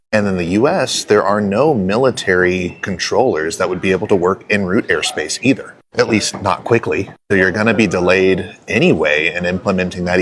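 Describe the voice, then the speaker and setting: Deep-voiced man explaining, faint background noise. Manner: matter-of-fact, didactic. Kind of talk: explanatory commentary.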